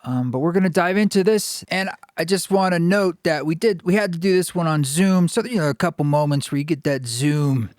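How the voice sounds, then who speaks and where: A man hosting a podcast, speaking thoughtfully with pauses and fillers as he reflects and introduces a guest. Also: on the high side, darkish tone, no echo, clean studio sound. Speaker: podcast host. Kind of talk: reflective podcast monologue and interview.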